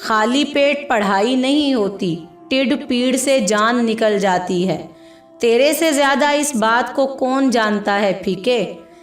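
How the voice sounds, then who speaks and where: A woman's voice: on the high side, slow pace. A woman narrator voicing an earnest, heartfelt grown village man talking to his old friend in a story. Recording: echoey voice over faint music.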